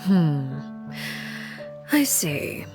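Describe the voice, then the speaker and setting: An actress playing a composed, cunning woman leader in a video game, speaking in a measured, formal, coolly sardonic manner. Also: low-pitched, very animated, exaggerated voice. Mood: cool, guarded. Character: composed, cunning adult woman leading an orphanage organization. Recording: clean studio voice over game music.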